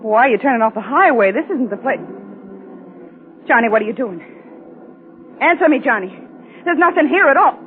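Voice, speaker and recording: A woman acting a scheming, seductive female accomplice in a 1940s radio drama. She coaxes with lively, mobile intonation. The recording is narrow and clearly noisy. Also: on the high side, moderate pace, pauses often, natural voice. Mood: alarmed.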